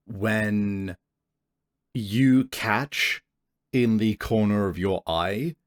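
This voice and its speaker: A man acting as game master, improvising descriptive narration in a conversational way and addressing the player directly. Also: on the low side.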